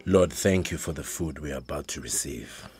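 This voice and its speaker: A man's voice: rumbling low, moderate pace, a little mellow, soft background music. An actor playing a withdrawn, pious elderly father in a radio drama, with a South African accent. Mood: solemn. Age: older.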